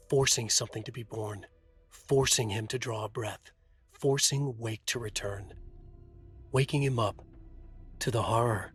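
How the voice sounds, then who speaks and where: Haunted writer, terse noir game narration, brooding and dramatic, faint music underneath. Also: male voice, mid-range voice, fairly bright tone, husky.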